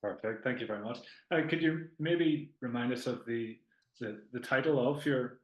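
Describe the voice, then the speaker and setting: A man hosts a podcast, his voice sitting at a middling pitch. He talks earnestly and haltingly, restarting his phrases. The recording has a noticeable room echo. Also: dull audio. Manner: earnest, hesitant, frequent restarts. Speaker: podcast host. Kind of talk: podcast introduction and interviewing. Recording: echoey room.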